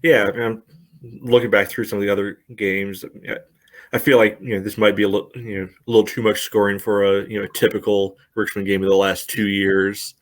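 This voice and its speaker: A man co-hosting a casual sports podcast, dry and wry, reeling off stats at a steady clip. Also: on the low side, fairly quick. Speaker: podcast co-host.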